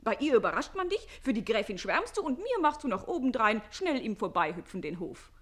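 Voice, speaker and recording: An actress playing a saucy, quick-witted young maid in a radio comedy, pert, mocking and sharp-tongued. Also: female voice, on the high side, sing-song. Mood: scolding. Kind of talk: theatrical radio comedy acting.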